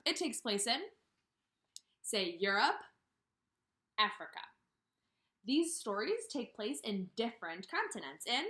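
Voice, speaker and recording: A woman in her thirties giving a kindergarten read-aloud lesson, speaking with emphasis and pausing after questions as if for children to answer. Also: mid-range voice. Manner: animated, asks questions and pauses for answers, slow emphatic phrasing. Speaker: kindergarten teacher. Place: small indoor room with brick wall.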